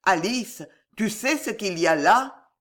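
A young count, acted by a male reader full of suspicion and indignation. He speaks gruffly and forcefully in a fairly high male voice. The delivery is that of audiobook melodrama. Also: animated, slow, exaggerated voice. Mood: distraught suspicion. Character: rough, suspicious young count, distraught and demanding. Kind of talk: dramatic audiobook character voice.

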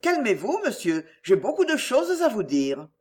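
A woman's voice: on the high side, wildly swooping pitch, normal speed, darkish tone. A woman voicing a self-pitying, scheming middle-aged mother in an audiobook, plaintive, melodramatic and wheedling. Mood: soothing, self-important. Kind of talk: acted audiobook dialogue.

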